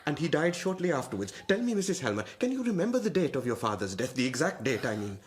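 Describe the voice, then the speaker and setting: An actor playing an embittered moneylender in a radio drama, with a deliberate, insinuating, formally polite menace. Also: male voice, fairly high pitch, very animated. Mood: cold interrogation. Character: embittered, menacing Indian moneylender and lowly clerk, a father.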